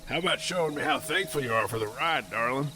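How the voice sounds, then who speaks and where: A man's voice: mid-range voice, lively intonation, fast, over music, close to an everyday voice. A man playing a crude, menacing militia captain with a smarmy, folksy drawl in an amateur audio drama. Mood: leering, sleazy. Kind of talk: audio drama script reading. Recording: noisy home recording.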